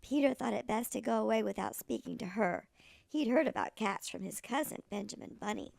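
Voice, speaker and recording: Woman as a tiny granny with a high, creaky voice. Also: brisk, a little mellow, dry recording. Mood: storytelling. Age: older. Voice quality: creaky, gravelly. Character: tiny granny, a little old lady storyteller. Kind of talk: expressive children's storybook reading.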